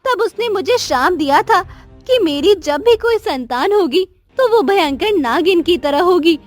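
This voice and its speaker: A woman's voice: extremely high pitch, lively intonation, measured pace, dry recording, soft background music. A woman voicing a remorseful young queen and anxious mother in a cartoon story, speaking in an earnest, pleading, dramatic way. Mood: confessing. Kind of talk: Hindi cartoon story dialogue.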